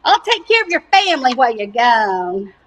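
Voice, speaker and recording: A woman's voice: a little high, big pitch swings, moderate pace. A woman voicing a gloating, taunting female cow in a children's story, with mocking sing-song teasing and a Southern US accent. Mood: gleeful taunting.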